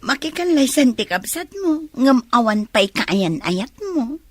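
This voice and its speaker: A woman's voice: a little high, lively intonation, a little slow, slightly rough, no echo, faint music behind. An actress playing a chatty young woman friend in an Ilocano radio drama.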